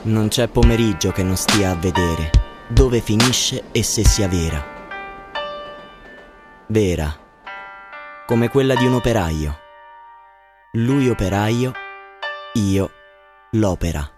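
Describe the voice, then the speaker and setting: An actor playing a bitter, defiant young student in a poetic radio theatre monologue, delivered in halting, declamatory phrases. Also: male voice, fairly low pitch, animated. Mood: lyrical, wistful. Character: anguished young student, bitter and defiant about precarious work. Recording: music under the voice. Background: music.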